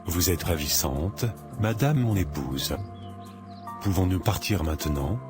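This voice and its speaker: A man playing a calm, measured, devout father in an animated drama, speaking formally. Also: low voice. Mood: calm, teasing. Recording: music behind the voice.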